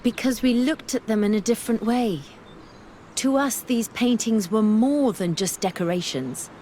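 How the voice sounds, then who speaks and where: An actress playing an earnest, scholarly young woman researcher in a fantasy video game, speaking in measured, formal phrases. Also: fairly high pitch, a little mellow, a little hiss or hum, lightly acted. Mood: reflective, warm. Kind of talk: video game dialogue acting.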